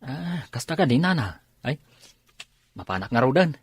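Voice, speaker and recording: Earnest young male laborer, respectful, Filipino accent, husky, dry close radio drama sound. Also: medium pitch, sing-song, normal speed, no echo, heavily acted.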